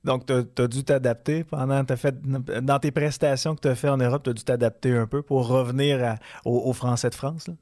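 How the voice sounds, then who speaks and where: A man's voice: mid-range voice, fairly even intonation, normal speed, hardly any pauses, darkish tone. A man with a Québécois accent hosting an interview, asking long, winding questions with curiosity. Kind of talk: casual interview chat.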